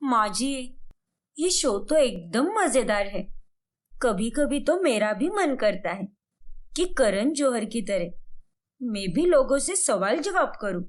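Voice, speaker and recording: A woman's voice: on the high side, sing-song, takes it slowly, voice pushed down. A woman voicing an eager, playful young daughter-in-law with a chirpy, teasing manner in a cartoon story.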